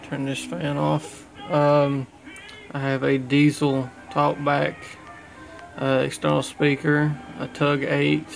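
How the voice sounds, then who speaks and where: A man walks through his equipment in a casual, unscripted way. His delivery is slow and halting, with a very flat pitch. There is a gravelly creak in his plain-spoken voice. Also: faint music behind, poor audio. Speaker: radio hobbyist showing his equipment. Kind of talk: casual unscripted gear review. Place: small indoor room at home.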